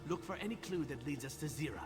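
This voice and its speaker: A somber male thief from a video game, played by an actor, terse and deliberate yet expressive in pitch. Music plays clearly behind the voice. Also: lightly acted. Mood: businesslike. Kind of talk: scripted video game dialogue.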